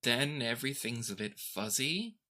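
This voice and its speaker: A man voicing a groggy, lovestruck young man in a hospital bed, slow and halting in a tabletop game. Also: medium pitch, expressive, sharp tone. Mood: dazed, hazy. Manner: slow, blearily affectionate, halting.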